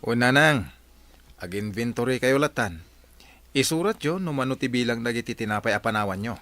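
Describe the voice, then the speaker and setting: A man's voice: mid-range voice, expressive, fairly quick, a bit bright, lightly acted. A man acting as a flirtatious, scheming married man in a comedy-drama radio play. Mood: casual.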